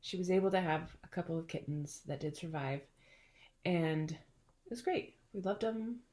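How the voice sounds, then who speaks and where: A woman's voice: lowish voice, moderate pace, dark tone. A middle-aged woman hosting a casual chat, telling stories in a chatty, playful way and laughing often. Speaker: podcast host.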